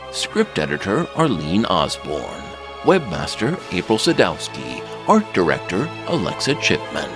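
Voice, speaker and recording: A man narrating an audio drama series, reading scripted credits in a deliberate, dramatic announcer style. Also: deep voice, gravelly.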